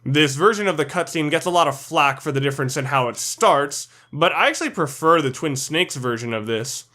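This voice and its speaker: A man narrates a scripted countdown with a slightly high voice. His delivery is blunt and opinionated, like someone laying out a personal ranking. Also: bright, forceful tone. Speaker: narrator of a video game ranking list.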